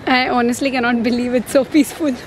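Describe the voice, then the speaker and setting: A woman's voice: on the high side, level tone, fast talker. A woman, chatting and teasing her friends on a casual vlog, recorded on a phone held at arm's length. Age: 20s. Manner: giggly, teasing, lots of laughter. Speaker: vlogger. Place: outdoors on a city seafront and in a car. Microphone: handheld phone camera at arm's length. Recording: street noise in the background.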